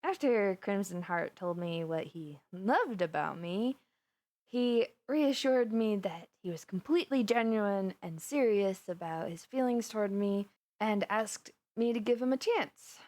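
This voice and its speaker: A woman's voice: mid-range voice, lively intonation, measured pace, a little mellow, dry recording, lightly acted. A woman playing a flustered, lovestruck supervillainess in a roleplay audio drama, chatting intimately and dramatically to her best friend. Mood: flustered confession. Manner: chatty, dramatic, playfully indignant, with sighs and gasps. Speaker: voice actor.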